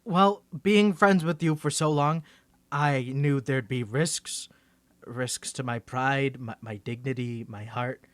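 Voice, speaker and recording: A man's voice: medium pitch, a bit bright, no echo. A man playing a nervous, bantering young man with a secret superhero identity, stammering through an ASMR roleplay to the listener. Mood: earnest, worried. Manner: stammering, flustered, halting.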